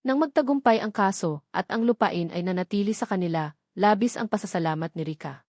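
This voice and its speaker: A woman's voice: mid-range voice, brisk, a little mellow, dry recording, studio quality. A woman reading a scripted romance story as a dramatic narrator.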